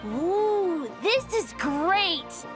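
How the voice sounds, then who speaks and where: Excitable small child, very high. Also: female voice, very slow, music in the background, heavily acted. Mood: excited. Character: excited small child greeting a grandfatherly knight. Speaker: video game character voice.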